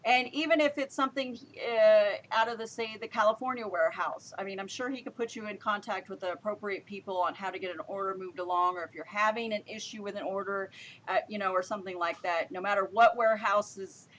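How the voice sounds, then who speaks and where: A woman's voice: mid-range voice, a bit bright, slight background noise, low-quality recording. A woman hosting a casual online show, talking in a rambling way and often rephrasing herself. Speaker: show host.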